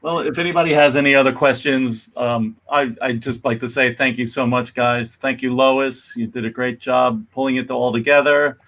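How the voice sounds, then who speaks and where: A man hosting casual, unscripted live chat in a halting way, repeating words as he goes. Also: medium pitch, steady tone, a bit bright. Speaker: host thanking the cast.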